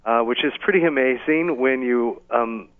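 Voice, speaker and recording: A man being interviewed casually on the radio as an event organiser, explaining things in a halting way over a phone line. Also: mid-range voice. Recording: thin, band-limited phone line.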